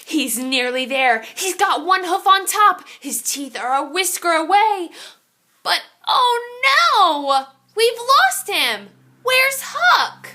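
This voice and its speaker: Animated, high-voiced woman reading a children's story. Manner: theatrical, suspenseful, with drawn-out exclamations. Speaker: storyteller reading a children's picture book aloud.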